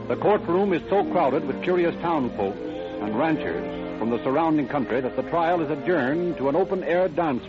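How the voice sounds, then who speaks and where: A man's voice: medium pitch, close to an everyday voice. A man narrating an old-time radio drama in a solemn, dramatic, scripted style. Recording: muffled vintage radio recording. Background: music.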